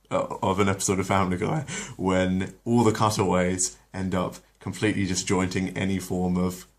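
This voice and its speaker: A very deep-voiced man reads a retold story in a relaxed storybook manner. When he steps off the script, he talks casually and hesitantly, with many pauses. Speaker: storyteller, channel host. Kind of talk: reading a retold episode aloud, then casual chat.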